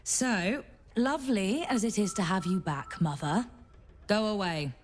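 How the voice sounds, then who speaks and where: A woman acts a grown daughter who runs her household in a game drama. She speaks slowly and bluntly, giving orders with teasing affection, over faint music. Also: medium pitch, natural voice. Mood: brisk, bossy. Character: brisk, bossy grown daughter and mother, fond underneath. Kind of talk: video game dramatic acting.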